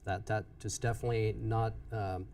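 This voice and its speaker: Gravelly, mellow-voiced man from Vietnam. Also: fairly low pitch, little pitch movement, far from the mic, slight background noise. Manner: hesitant, many "uh" pauses. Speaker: bank manager, guest. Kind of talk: interview answers.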